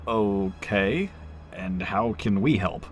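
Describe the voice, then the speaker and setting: A man's voice: on the low side, expressive, takes it slowly, a little hiss or hum. A man playing a friendly, chatty train attendant in an audio drama, with a casual, teasing manner. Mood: matter-of-fact. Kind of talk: naturalistic audio drama acting.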